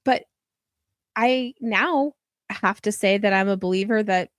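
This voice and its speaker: A woman's voice: medium pitch, unhurried, no echo, studio quality. A middle-aged woman hosting a podcast, speaking casually, affirming her guest and telling personal stories with frequent laughter. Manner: warm, affirming, laughs often, storytelling. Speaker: podcast host. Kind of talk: casual interview conversation.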